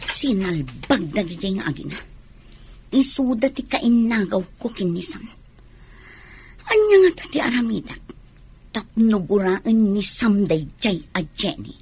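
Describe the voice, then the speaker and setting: A woman's voice: medium pitch, very animated, a little slow, a little mellow, husky, full character voice. A woman playing a scheming, possessive, sulky former girlfriend with an Ilocano Filipino accent in a radio drama. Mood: plotting.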